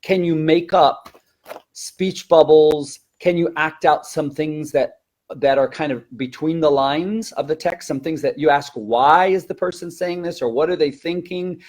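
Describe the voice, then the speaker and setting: A man's voice: a little high, a little slow, a little mellow. A man speaking as a language teacher and presenter in a conversational training webinar, emphatic, with rhetorical questions and stop-and-start phrasing.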